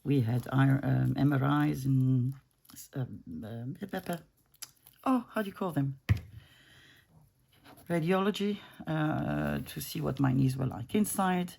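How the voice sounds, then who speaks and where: Gravelly-voiced woman in her fifties. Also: sits low, takes it slowly, pauses often, dark tone. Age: 50s. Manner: rambling, self-interrupting, easygoing. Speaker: junk journaling crafter. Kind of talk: casual chat while crafting. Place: home craft desk.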